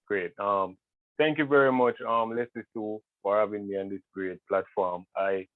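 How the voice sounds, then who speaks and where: A man's voice: low voice, dry recording. Jamaican man on a tinny video call. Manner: hesitant, many ums and restarts. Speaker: guest artist. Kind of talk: casual interview talk. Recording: tinny phone-like call audio.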